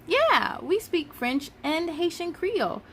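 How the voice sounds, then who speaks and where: A woman's voice: extremely high pitch, big pitch swings, slow, a bit bright, a little hiss or hum, voice pushed up, exaggerated voice. A woman voicing curious, outspoken schoolchildren in a children's storybook, eager and full of questions. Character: curious, outspoken young schoolchildren answering in class. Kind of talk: children's storybook read-aloud.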